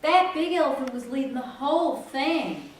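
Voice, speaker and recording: Casting: woman playing a wondering small-town churchgoer, higher voice, theatrical storytelling, very echoey. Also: expressive, moderate pace. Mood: eager, wondering. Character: wide-eyed, churchgoing small-town Southern townsperson. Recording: echoey stage, distant, faint noise.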